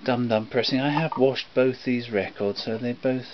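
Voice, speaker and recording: A man's voice: mid-range voice, moderate pace. A man informally demonstrating records in a matter-of-fact, explanatory way. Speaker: record collector presenting a comparison. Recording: dull, lo-fi home recording, noisy with clicks.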